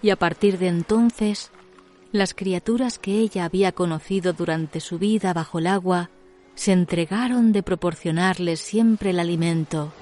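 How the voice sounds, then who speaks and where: A woman narrating a literary tale as a storyteller, in a measured, dramatic scripted delivery. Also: lowish voice, darkish tone, a little hiss or hum.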